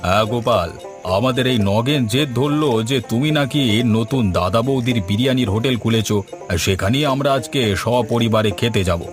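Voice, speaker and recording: A man's voice: mid-range voice, fairly quick, close to an everyday voice. A man voicing a hearty, generous older male landlord in a cartoon. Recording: background music.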